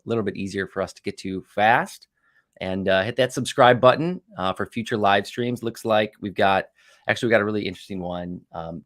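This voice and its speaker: A man's voice: deep voice, fairly bright tone. A man hosting a casual crypto livestream, with loose, upbeat patter full of fillers. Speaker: livestream host.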